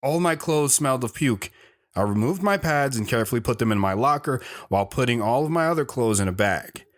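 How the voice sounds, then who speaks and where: A man's voice: medium pitch, expressive, fairly quick. A man narrating his own past as a scripted drama, telling it plainly while looking back.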